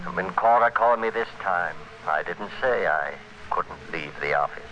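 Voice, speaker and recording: A man's voice: low voice, animated, moderate pace, pushed and bright, highs cut off. An actor playing a cold, domineering male criminal who schemes and gives orders in a 1940s radio thriller. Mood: grim, calculating. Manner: hard, domineering, clipped and menacing. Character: cold, scheming male criminal narrating his murderous plot. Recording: noisy vintage 1940s radio recording.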